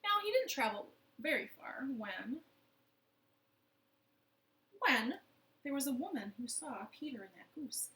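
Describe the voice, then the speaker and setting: Female children's storyteller: higher-pitched, very lively intonation, unhurried, expressive, roomy home recording. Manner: expressive, interactive, addressing young listeners. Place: living room at home. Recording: roomy, echoing home living room.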